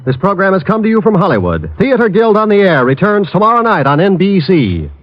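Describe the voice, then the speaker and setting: A man's voice: sits high, sing-song, a little fast, no room sound. A man announcing a scripted radio programme in 1940s broadcast style. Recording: muffled vintage radio recording. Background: music.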